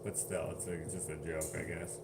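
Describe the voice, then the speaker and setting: A man's voice: a bass voice, flat delivery, a little fast, loud music behind the voice. A man casually chatting on a livestream, reading viewer comments aloud and laughing often. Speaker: livestream host.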